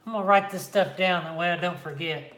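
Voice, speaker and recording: A man's voice: high-pitched, fairly quick, fairly bright tone. Laid-back man chatting on a livestream. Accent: Midwestern American. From Kansas, Oklahoma, Missouri area. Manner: laid-back, reads and answers live chat. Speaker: reptile channel host. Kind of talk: casual livestream chat with viewers. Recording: echoey room.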